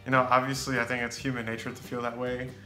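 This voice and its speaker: Bright-voiced American man chatting casually. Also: mid-range voice, fast. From United States. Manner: relaxed, rambling with fillers. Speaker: co-host. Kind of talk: casual chat. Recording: echoey room with faint music.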